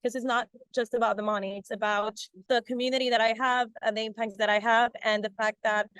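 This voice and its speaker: A woman's voice: fairly high pitch, level tone, brisk, a bit bright, clean tone, dry recording. A woman from Ecuador, giving a chatty testimonial about her coaching business with many fillers and restarts. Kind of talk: casual testimonial interview.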